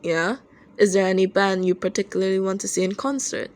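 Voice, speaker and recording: A woman's voice: medium pitch, fairly even intonation, fairly quick, a little mellow, natural voice. A woman playing a short, hot-tempered young male rock singer with a curt, grumbling, dry manner in an audio story. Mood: thoughtful.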